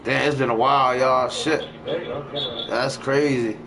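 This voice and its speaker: Man streaming a game over clear background noise. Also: mid-range voice, steady tone, unhurried, fairly bright tone. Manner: profane, slangy. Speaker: game livestream host. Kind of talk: casual gaming stream chat. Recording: noisy home stream with game ambience.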